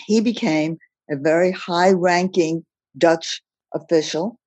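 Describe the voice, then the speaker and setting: An older woman from Belgium, recounting her life story as a podcast guest in a measured, plain-spoken way. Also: fairly low pitch, a little mellow, no room sound. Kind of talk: personal storytelling in a podcast interview.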